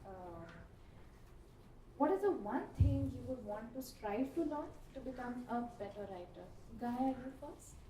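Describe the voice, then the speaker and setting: Lady moderator asking short questions, high mellow voice, far off n echoey. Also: sing-song, a little slow. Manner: brief, questioning. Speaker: panel moderator. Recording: echoey hall, far from mic, faint noise.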